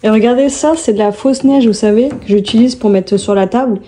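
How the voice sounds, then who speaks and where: Chatty mellow-voiced woman showing her haul. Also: fairly high pitch, fairly quick, slight background noise. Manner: chatty, rambling, self-correcting, laughs at herself. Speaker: vlogger. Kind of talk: casual vlog chat, showing her shopping haul.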